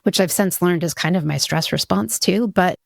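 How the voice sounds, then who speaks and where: A woman's voice: on the low side, normal speed, creaky, dry recording, studio quality. A woman in her forties from Hawaii, a podcast guest telling her story in a candid, reflective way with frequent restarts. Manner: reflective, many restarts and "you know".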